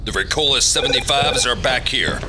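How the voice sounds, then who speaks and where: A man's voice: medium pitch, normal speed, lightly acted. A man acting in a radio drama as a confident Marine colonel, curt and authoritative. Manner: curt, authoritative military orders. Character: crisp, confident male Marine colonel commanding a battle post. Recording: radio play with noisy background.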